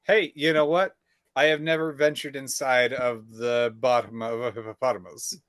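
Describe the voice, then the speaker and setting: A man co-hosting a podcast, chatting casually in a relaxed way, with fillers and repeated phrases. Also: mid-range voice, moderate pace, dry recording, clean studio sound. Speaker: podcast co-host. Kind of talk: casual chat.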